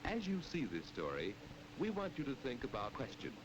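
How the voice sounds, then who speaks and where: A man's voice: medium pitch, wildly swooping pitch, moderate pace, highs cut off, crowd noise. A man narrating in the style of a 1940s educational film, on a noisy old film soundtrack.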